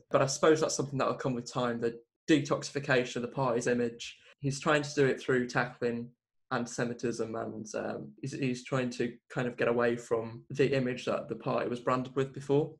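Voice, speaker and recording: A man with a medium-pitched voice talks through politics in a measured way. He sounds like a panellist in a podcast discussion.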